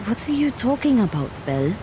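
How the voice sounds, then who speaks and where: A woman's voice: fairly high pitch, big pitch swings, fairly quick, background noise. A woman playing a skeptical, judgmental teenage girl in an audio drama. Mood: skeptical, disapproving.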